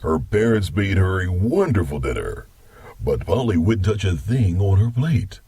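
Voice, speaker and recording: A man acts as a mischievous grandpa telling a spooky bedtime story. The voice is very husky and low, with a teasing delivery that swings widely in pitch. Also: very animated, measured pace, dark tone, no room sound, heavily acted. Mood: mock-ominous.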